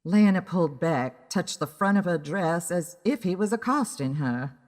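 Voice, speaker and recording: A woman's voice: on the low side, lively intonation, normal speed, darkish tone. A woman narrating a first-person story in a dramatic audiobook style. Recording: long reverb on the voice.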